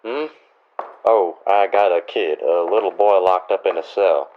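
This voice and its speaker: Man playing a low-voiced folksy frontier deputy. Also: a little slow, highs cut off, a little hiss or hum. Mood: easygoing. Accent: American. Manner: folksy, hesitant. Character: loyal, deferential, easygoing marshal's deputy in Old West. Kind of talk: Western radio drama acting.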